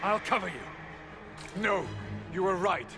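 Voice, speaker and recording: An actor playing a valiant, self-sacrificing warrior in a fantasy game, declamatory and urgent. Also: male voice, high voice, expressive, slow pace. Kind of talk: dramatic video game acting. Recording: loud music over the voice.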